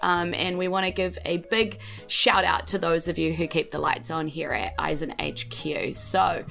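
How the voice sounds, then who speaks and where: A woman's voice: on the low side, steady tone, moderate pace, gravelly, a bit bright. A woman with a New Zealand accent hosting a tabletop game podcast as game master, speaking in a gushing, affectionate way. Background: faint music.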